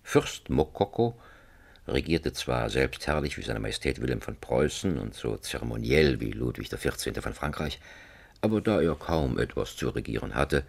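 A man narrating a satirical radio drama as a wry, mock-solemn storyteller, with a gravelly, husky voice. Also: very deep voice, heavily acted. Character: wry, leisurely male storyteller of a satirical fairy tale. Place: radio studio.

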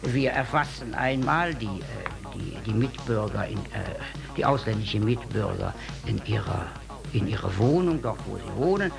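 Male bureaucrat interviewee, heavily hesitant with repeated words, gravelly edge, music underneath. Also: lowish voice, lively intonation, normal speed. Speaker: statistics office official being interviewed. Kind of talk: halting interview answer.

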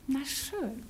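An actress playing a saucy, shrewd young maidservant with a teasing, theatrical manner in a German radio comedy. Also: female voice, on the high side, darkish tone, exaggerated voice. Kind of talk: German radio play acting, stagy comedy.

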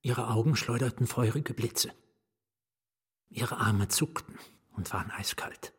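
An actor playing an anguished, fearful novelist in a radio drama, narrating his own ruin with a husky voice that drops into whispers. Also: medium pitch. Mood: brooding, haunted. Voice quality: husky, breathy. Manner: deliberate, dropping into whispers. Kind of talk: literary radio drama first-person narration.

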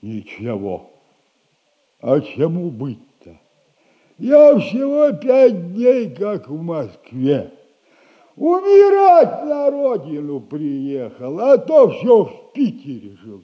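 Creaky, high-pitched boastful old soldier. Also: male voice, huge pitch swings, dull audio, exaggerated voice. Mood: weary, dismissive. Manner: drawn-out, rambling, self-admiring storytelling with repeated catchphrase. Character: boastful old retired soldier recounting his conquests. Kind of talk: theatrical radio play comedy acting.

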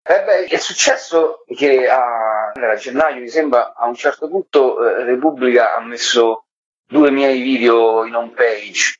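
A man's voice: mid-range voice, measured pace, husky. A man speaking as a guest in a casual interview, with an informal, rambling, colloquial way of talking. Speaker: guest, stage storyteller. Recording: dull, lo-fi radio audio.

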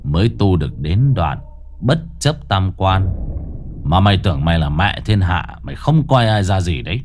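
A man voicing a stern, arrogant exorcist master who talks in a gruff, crude, commanding way. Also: deep voice, sing-song, normal speed, darkish tone, a little hiss or hum.

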